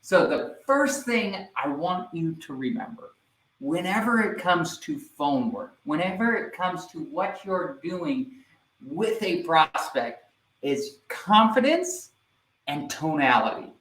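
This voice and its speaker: A man giving a live online sales training, energetic and speaking directly to viewers, often checking in with "right?". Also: fairly high pitch, takes it slowly, echoey room, low-quality recording.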